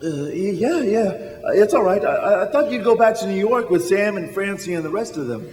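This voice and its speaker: An actor playing a flustered, good-hearted small-town young man in a radio drama, stammering and halting. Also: sits high, animated, darkish tone. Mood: awkward, defensive. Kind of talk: stage-style radio drama acting. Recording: hall reverb, music behind.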